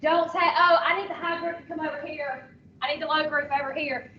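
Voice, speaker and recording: Woman, teacher, loud fairly high voice, directive, conversational, informal instruction, distant muffled room recording. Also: flat delivery, fairly quick, a little hiss or hum. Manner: directive, conversational, repeats short phrases for emphasis. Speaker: teacher leading a co-teaching training session.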